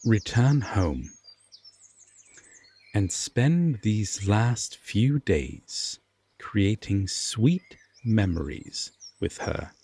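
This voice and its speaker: Man acting as aloof Fae king, very slow, low-pitched, formal, stern but tender underneath, fantasy audio roleplay. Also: darkish tone, heavily acted. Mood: gentle, resigned. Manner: measured, formal, deliberate phrasing with long pauses.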